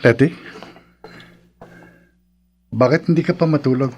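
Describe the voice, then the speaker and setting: An actor with a Filipino accent playing a caring, practical husband in a horror radio drama. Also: male voice, medium pitch, unhurried, a little mellow, lo-fi sound, a little hiss or hum, lightly acted. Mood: consoling. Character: consoling, practical husband, caring but skeptical.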